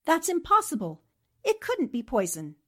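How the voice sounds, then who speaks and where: Resolute American girl reporter, acted by a woman, 1940s radio mystery. Earnest, dramatic, very lively intonation. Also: high voice, normal speed. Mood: sharp deduction. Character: brave, serious 1940s newspaperwoman investigator.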